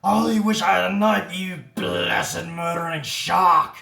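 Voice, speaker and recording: A man voicing a hot-tempered, rough bushman from the outback in a comic ballad, loud and blustering. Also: high-pitched, fairly even intonation, a little slow, reverberant, recorded from a distance, pitched above the performer's own voice, heavily acted. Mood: outraged, bellowing. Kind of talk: comic ballad character acting.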